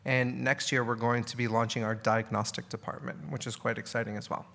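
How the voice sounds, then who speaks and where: A man's voice: mid-range voice, little pitch movement, brisk, vocal fry, bright, forceful tone. A man giving a conference keynote in a loose, casual way, often repeating words and asking "right?". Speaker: keynote speaker. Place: conference hall.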